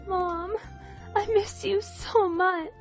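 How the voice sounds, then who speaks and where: A woman voicing a sad, gentle young daughter in a fairy tale, plaintive and pleading. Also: very high-pitched, fairly even intonation, extremely slow, soft background music, pitched above the performer's own voice, full character voice. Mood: tearful grief.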